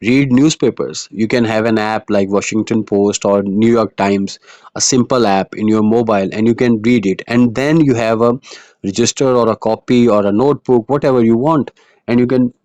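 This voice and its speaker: A man's voice: lowish voice, normal speed, a little mellow, no echo. Earnest male IELTS tutor, Urdu native. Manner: earnest, explanatory, many fillers and restarts. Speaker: IELTS teacher. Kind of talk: online lesson monologue.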